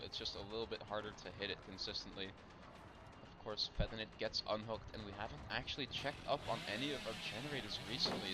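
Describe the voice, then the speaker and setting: Male esports caster, animated and outburst-prone, heavy background noise on the line. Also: on the low side. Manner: animated analysis with sudden excited outbursts. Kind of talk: live esports commentary. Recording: loud noise on a stream mic.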